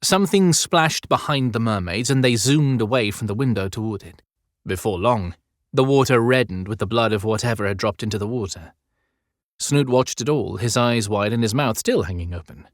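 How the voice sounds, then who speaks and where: A man's voice: on the low side, expressive, normal speed, dry recording, clean studio sound. A man narrating a scripted adventure story as an audiobook narrator.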